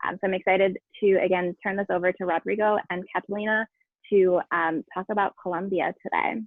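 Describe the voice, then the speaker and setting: A woman host opening an online event with a brisk, welcoming introduction. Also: mid-range voice, fairly even intonation, no echo. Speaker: host, nonprofit founder. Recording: tinny phone-like line.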